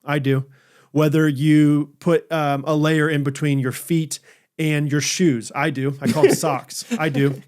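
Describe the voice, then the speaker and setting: A man's voice: on the high side, little pitch movement, unhurried, a little mellow. A man co-hosting a podcast, joking and laughing often as he reads an ad. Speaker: podcast co-host. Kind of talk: casual chat with ad reads.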